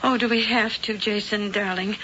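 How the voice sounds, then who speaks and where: A woman's voice: mid-range voice, fairly even intonation, normal speed, pushed and bright, a little hiss or hum, natural voice. A woman playing a fretful, cooing witch-wife. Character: fussy, doting wife of a warlock. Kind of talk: 1970s radio acting. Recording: dull old radio broadcast.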